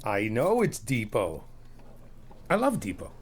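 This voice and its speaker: A middle-aged man in an ASMR friend roleplay, talking in an easygoing, reassuring, chatty way with soft laughs. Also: lowish voice, huge pitch swings, measured pace, heavy background noise. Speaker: ASMR roleplay creator playing a best friend.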